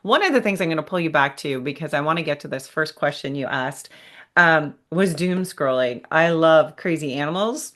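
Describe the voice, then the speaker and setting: Low-voiced woman in her fifties hosting. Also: animated, normal speed, a bit bright. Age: 50s. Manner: candid, chatty, self-deprecating. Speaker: podcast host. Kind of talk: conversational interview.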